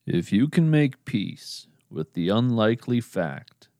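A man narrating his podcast in a slow, measured, earnest scripted address to the listener. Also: lowish voice, sing-song, dark tone, no echo, clean studio sound.

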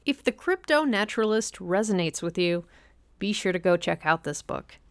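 A woman's voice: mid-range voice, animated, normal speed, clear, resonant tone, dry recording. A woman reading a scripted announcement and promotional intro for a podcast. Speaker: show announcer.

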